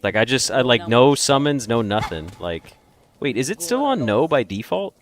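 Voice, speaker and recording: A man's voice: mid-range voice, big pitch swings, normal speed. A man giving let's play commentary, reacting and exclaiming as he gets hit in a boss fight. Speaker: gaming video host. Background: game music.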